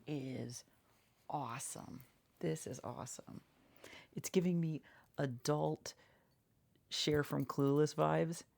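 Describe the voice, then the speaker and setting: A woman speaking softly and casually in an ASMR roleplay as a seamstress, halting and often repeating small reassurances in a murmur. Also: deep voice. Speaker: ASMR creator playing a seamstress. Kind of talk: soft-spoken ASMR roleplay.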